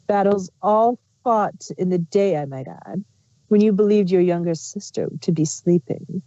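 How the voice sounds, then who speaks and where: A woman's voice: mid-range voice, a little slow, dark tone, natural voice. Woman playing a sly changeling queen, muffled and roomy. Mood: smug. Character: changeling queen villain, sly, wistful, confessing deception. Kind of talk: amateur fan fiction reading. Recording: muffled, roomy home recording.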